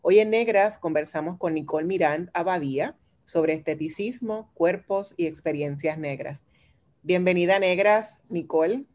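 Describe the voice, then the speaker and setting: A woman's voice: fairly low pitch, normal speed, darkish tone. A Puerto Rican woman hosting a university radio interview, conversational and full of tag questions. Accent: Puerto Rican Spanish. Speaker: radio host.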